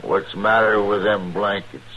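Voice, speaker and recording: A man's voice: lowish voice, steady tone, moderate pace, sharp tone, highs cut off. An actor playing a surly, suspicious poor frontier father with rustic, grumbling speech in a 1950s western radio drama. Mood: surly, hostile. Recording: very noisy old radio recording.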